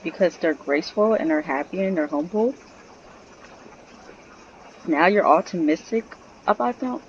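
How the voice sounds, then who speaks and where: A woman's voice: lowish voice, expressive, unhurried. A woman hosting a gaming channel, giving slangy, casual reaction commentary over gameplay. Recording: dull lo-fi mic with hiss.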